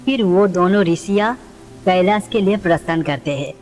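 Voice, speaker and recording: A woman's voice: on the low side, normal speed, darkish tone. A woman reading scripted narration for a devotional story. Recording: long reverb, music underneath.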